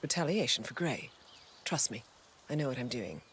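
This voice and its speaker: A woman's voice: extremely low pitch, sing-song, moderate pace, slight background noise. A woman voicing a cool, calculating female spymaster handler in a video game cutscene, composed and persuasive, at times near a whisper. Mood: conspiring. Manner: composed and persuasive, quietly resolute.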